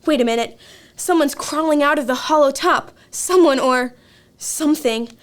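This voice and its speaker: A woman's voice: high-pitched, normal speed, close to an everyday voice. A woman playing an earnest, urgent radio news reporter in a radio play, with a formal announcer's delivery. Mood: awed, alarmed.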